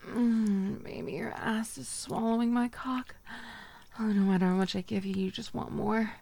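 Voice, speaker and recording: A woman performing a dominant, teasing audio roleplay, speaking in soft whispers broken by low murmurs. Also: fairly even intonation, moderate pace, vocal fry.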